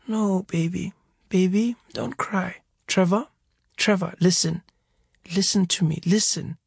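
A man voicing a gentle, loving mother who is recovering in hospital, speaking softly and soothingly in a storybook reading. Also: fairly low pitch, fairly even intonation, measured pace, creaky, husky, no echo, clean studio sound, pitched above the performer's own voice, full character voice. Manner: soft, hushed, soothing.

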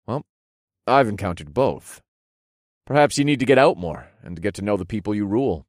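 A man's voice: lowish voice, lively intonation, normal speed, no room sound, clean studio sound, natural voice. A man voicing a brash, casual fantasy hero with cheeky modern phrasing in an audiobook.

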